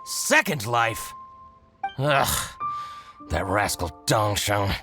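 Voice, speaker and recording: An actor playing a spiteful, scheming middle-aged merchant who gloats and fawns in a game cutscene. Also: male voice, lowish voice, lively intonation, drawn-out words. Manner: gloating, theatrical, fawning. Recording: game cutscene with background music.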